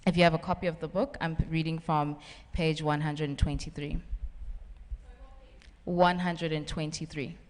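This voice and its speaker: Low-voiced chatty woman novelist. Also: fairly even intonation, normal speed. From South Africa. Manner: chatty, laughs often, many "um" fillers. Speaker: novelist on a festival panel. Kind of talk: panel talk and reading aloud from a novel. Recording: reverberant festival hall.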